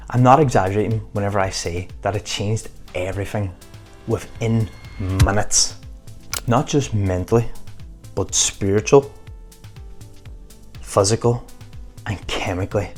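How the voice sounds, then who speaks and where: A man's voice: fairly low pitch, darkish tone. A man giving an earnest motivational talk to camera in short, emphatic phrases. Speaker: motivational vlogger.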